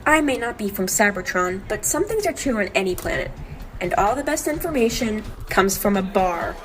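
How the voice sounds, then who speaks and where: A woman voicing an earnest, determined robot heroine in an amateur comic dub, narrating in a reflective, measured way. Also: medium pitch, big pitch swings, natural voice. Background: clear music bed.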